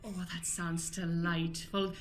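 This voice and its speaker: A woman's voice: fairly low pitch, flat delivery, slow. A woman playing a folksy, cheery flower shop owner in an improvised radio play, gushing with old-fashioned turns of phrase. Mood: chipper, helpful.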